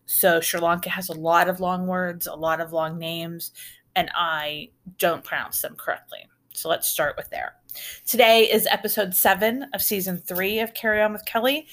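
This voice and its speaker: A woman's voice: fairly low pitch, moderate pace, a bit bright. A middle-aged American woman hosting a podcast, telling travel stories in a chatty, rambling way with restarts and asides. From United States. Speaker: podcast host. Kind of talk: casual storytelling podcast.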